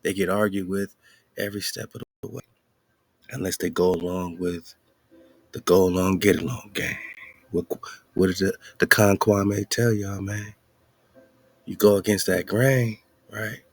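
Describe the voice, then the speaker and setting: A man's voice: deep voice, fairly even intonation, a little slow, long pauses, no room sound. A man hosting an internet radio show, commenting loosely and preachily on an article he reads aloud and laughing between points. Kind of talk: casual commentary over a read-aloud article.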